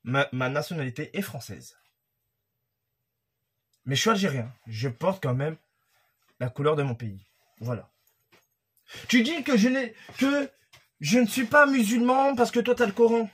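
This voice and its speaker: A man's voice: high voice, lively intonation, measured pace, pauses often, husky. A young man from France, a YouTuber, ranting heatedly and accusingly to camera from a room at home. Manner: heated and accusatory, talking straight to the target. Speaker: YouTuber commentator. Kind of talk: casual rant to camera. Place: room at home with a creaky wooden floor.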